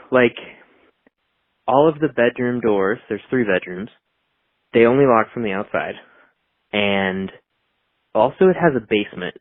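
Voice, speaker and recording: A man's voice: on the low side, no echo. A man leaving a voicemail as a caller, casually telling a story over the telephone. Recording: tinny, narrow phone line, dry.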